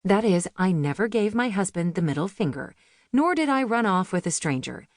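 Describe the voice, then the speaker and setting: A woman's voice: mid-range voice, very animated, moderate pace, dry recording, clean studio sound. A woman reading a memoir audiobook as a scripted narration.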